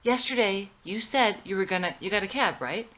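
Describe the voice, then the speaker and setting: A woman's voice: medium pitch, expressive, moderate pace, pushed and bright, voice pushed up, low-quality recording. A woman acting an exasperated, sarcastic young married woman in a screenplay reading, with a needling manner. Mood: annoyed, accusing.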